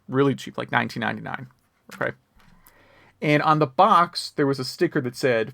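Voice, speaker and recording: Man, casual gaming chat, fry in the voice, dry close sound, rambling and wry. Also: mid-range voice, a bit bright, no echo. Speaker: podcast co-host. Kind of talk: casual chat about video games.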